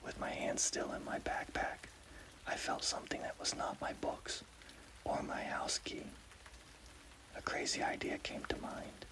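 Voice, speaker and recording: A man telling a scary story in a breathy, unvoiced ASMR whisper. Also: a little slow, rough recording. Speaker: horror story narrator.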